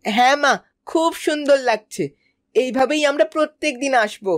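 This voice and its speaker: A woman's voice: high voice, normal speed, close to an everyday voice. A woman doing an impatient little boy duckling. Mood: eager. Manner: eager, impatient. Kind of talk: cartoon voice acting.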